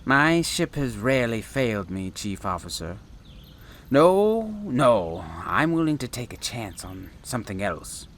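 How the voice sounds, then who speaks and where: A man's voice: a little high, bright, forceful tone, full character voice. A man playing an eccentric, insistent space traveler and professor in a sci-fi audio drama, with a theatrical, wordy, formal manner. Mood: incredulous.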